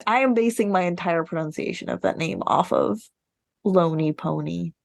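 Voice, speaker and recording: A woman's voice: fairly low pitch, normal speed, vocal fry, no room sound. Opinionated woman chatting, dry close sound. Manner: opinionated, blunt, swears casually. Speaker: podcast co-host. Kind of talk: casual book discussion.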